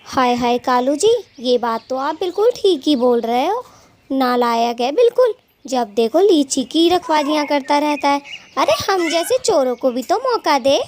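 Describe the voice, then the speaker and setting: A woman's voice: sits high, darkish tone, a little hiss or hum. A woman voicing a gossipy, scheming female bird thief who dotes on her husband, in a dramatic, exclamatory children's cartoon manner. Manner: dramatic, exclamatory, scolding and fawning. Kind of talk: Hindi children's cartoon dialogue.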